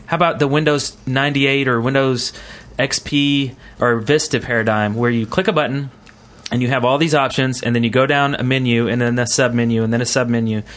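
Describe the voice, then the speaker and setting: A man with a mid-pitched voice hosts a casual gaming podcast. He rambles along in a jokey, conversational way, circling around his points as he chats. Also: fairly bright tone. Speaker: podcast host.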